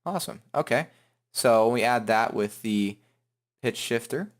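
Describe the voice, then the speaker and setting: A man hosting a casual, improvised software tutorial, talking in an informal, rambling way. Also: medium pitch, expressive, unhurried. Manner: informal, rambling, hums filler syllables while working. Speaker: tutorial host.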